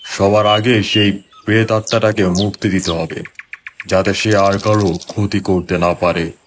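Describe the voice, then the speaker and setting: A man's voice: lowish voice, level tone, unhurried, lightly acted. A man voicing a stern, commanding tantric exorcist in a village horror cartoon. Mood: grave. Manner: authoritative, commanding. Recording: clearly noisy background.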